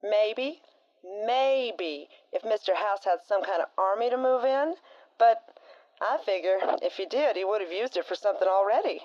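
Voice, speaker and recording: A woman's voice: mid-range voice, animated, moderate pace, darkish tone, highs cut off, close to an everyday voice. A woman doing a folksy, blunt frontier co-host. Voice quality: folksy drawl and slang. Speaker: radio co-host.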